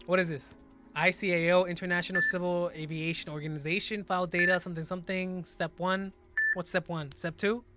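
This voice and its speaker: A man's voice: high-pitched, flat delivery, brisk. A man in his twenties with an American accent giving casual, slangy, exclaiming reaction commentary. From California, United States. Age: 20s. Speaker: reaction video host. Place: small indoor room at a gaming setup. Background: music.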